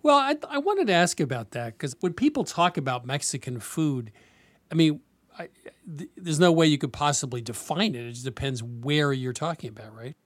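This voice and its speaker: A man's voice: fairly high pitch, expressive, moderate pace, no echo. Male radio host, curious and chatty, dry close sound. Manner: curious, conversational questioner. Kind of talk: radio interview.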